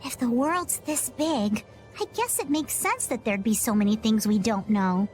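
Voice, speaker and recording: A woman's voice: a little high, animated, moderate pace, a little mellow, close to an everyday voice. A woman playing an earnest, innocent youngster in a fantasy video game cutscene. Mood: earnest, wistful. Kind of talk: English game cutscene voice acting. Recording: music behind the voice.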